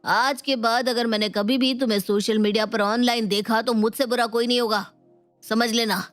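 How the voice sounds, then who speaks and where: A stern husband from a family storybook, put on by a woman. The voice is on the high side, fed up and lecturing, laying down strict warnings. Music plays in the background. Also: steady tone, normal speed, lightly acted. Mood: stern, exasperated. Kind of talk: dramatised Hindi family storybook.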